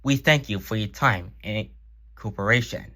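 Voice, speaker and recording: A man's voice: on the low side, moderate pace, bright, forceful tone. A man speaking as an emergency broadcast announcer, reading a scripted news announcement in a formal, solemn manner.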